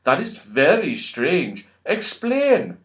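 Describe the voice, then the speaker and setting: A man voicing a commanding wizard in a children's storybook, speaking with slow, deliberate authority. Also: a little high, wildly swooping pitch, fairly bright tone, pitched above the performer's own voice, full character voice. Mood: astonished. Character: astonished, commanding male wizard of great authority.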